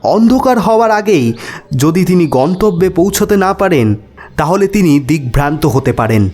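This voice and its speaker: A man narrating an audio story as its host, in a measured, dramatic and expressive storytelling manner. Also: sits high. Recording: background music under voice.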